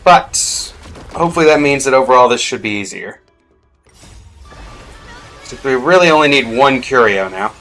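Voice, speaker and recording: A man's voice: medium pitch, expressive, normal speed, long pauses, fairly bright tone, soft background music. A man giving casual gameplay commentary as a streamer, thinking aloud as he goes. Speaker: game streamer.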